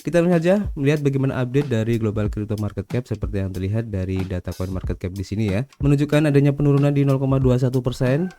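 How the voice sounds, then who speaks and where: A man's voice: fairly low pitch, dark tone, slight background noise. A man with an Indonesian accent, hosting a casual crypto news roundup with a stuffy nose from a cold, peppering his lines with "ya" and "guys". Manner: chatty, adds "ya" and "guys" to most lines. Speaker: crypto news channel host.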